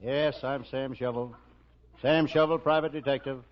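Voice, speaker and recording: An American man playing a deadpan hard-boiled private detective, narrating a 1940s radio parody. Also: mid-range voice, normal speed, over loud music, close to an everyday voice. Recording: noisy, muffled 1940s radio recording.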